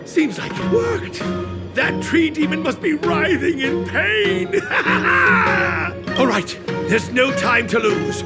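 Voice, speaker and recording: Eccentric master craftsman for a game: very high register, unhurried, excitable, grandly theatrical with archaic verse. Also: vocal fry, loud music behind the voice, exaggerated voice. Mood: excited, alarmed. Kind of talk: video game character acting.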